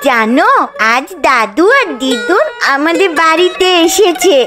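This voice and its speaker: Casting: female actor as eager little cartoon girl, very high childlike voice, lively swoops, music underneath. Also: a little slow. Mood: excited. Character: little village girl, sweet, eager, hungry granddaughter. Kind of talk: cartoon voice acting. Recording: music behind the voice.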